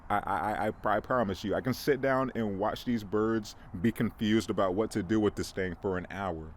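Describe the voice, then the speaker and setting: A man's voice: fairly low pitch, a little hiss or hum. A man hosting a podcast, chatting casually and rambling to the camera outdoors, often restarting and joking. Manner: rambling, self-correcting, joking. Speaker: podcast host. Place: outdoors near a bird feeder. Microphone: camera mic.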